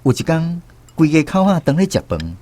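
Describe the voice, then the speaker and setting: A man's voice: on the high side, very animated, moderate pace, mellow. A man with a Taiwanese accent narrating a scripted first-person story as a radio drama storyteller.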